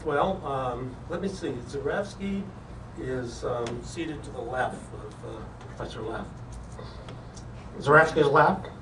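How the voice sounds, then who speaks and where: A man's voice: medium pitch, a little slow, far from the mic. A man presenting as the mild, hesitant straight man in a live comedy dialogue. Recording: roomy, noisy conference hall from afar.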